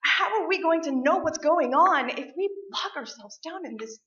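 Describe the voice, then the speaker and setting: A woman's voice: sits high, expressive, normal speed, pushed and bright. An actress playing a firm, reproachful wife and worried mother in a staged radio play, with a pointed way of talking. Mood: urgent, firm. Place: live stage performance in a room. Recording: dull, echoey stage recording.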